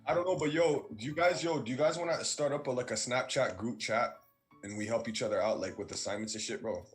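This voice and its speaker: A man's voice: medium pitch, fast, soft background music. Slangy joking young man. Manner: slangy, laid-back joking. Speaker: student in a class breakout group. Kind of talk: casual chat. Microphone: video call.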